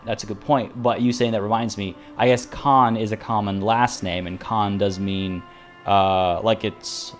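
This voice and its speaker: A man live-streaming a game, chatting to his viewers in a rambling way full of restarts, and saying he is losing his voice. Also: on the low side. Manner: rambling and tangential, with many restarts. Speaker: streamer playing a video game.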